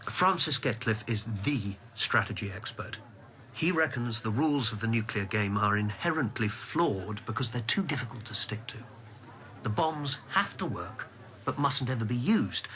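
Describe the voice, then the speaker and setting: An actor playing a reserved, careful English civil servant who also narrates, in a radio drama. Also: male voice, medium pitch, bright, forceful tone. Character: guarded, principled British civil servant and narrator.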